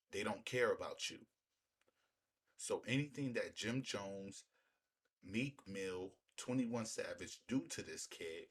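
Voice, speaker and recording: Deep voiced guy, kinda raspy, doing emphatic street commentary, hammers his points over and over. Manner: emphatic, repetitive, rhetorical questions. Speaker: commentator hosting a reaction video. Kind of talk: opinionated street-talk commentary.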